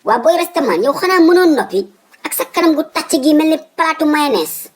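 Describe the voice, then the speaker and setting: A man's voice: very high-pitched, animated, brisk. A man voicing a fussy, stubborn old father in a comic cartoon, with a Senegalese accent, exclaiming and repeating his words emphatically. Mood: scornful.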